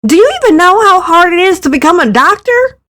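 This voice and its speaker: A woman's voice: very high voice, sing-song, a little fast, pushed and bright, voice pushed up, exaggerated voice. A woman voicing an insecure, defensive young woman student with a plaintive, emotional, exasperated manner in a visual novel.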